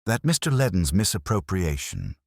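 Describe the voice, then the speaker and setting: Shrewd detective inspector voiced by a man: extremely low, quiet, gentle, exact, dry close sound. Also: huge pitch swings, moderate pace, gravelly, husky, dry recording, exaggerated voice. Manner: quiet, mild, gentle, precise. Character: calm, shrewd male police inspector.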